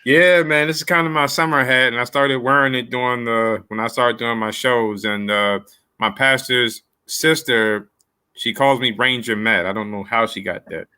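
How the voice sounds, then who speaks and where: A man's voice: mid-range voice, steady tone, moderate pace, sharp tone, smooth. A man hosting a podcast in casual interview chat, speaking in an informal, folksy and appreciative way. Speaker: podcast host.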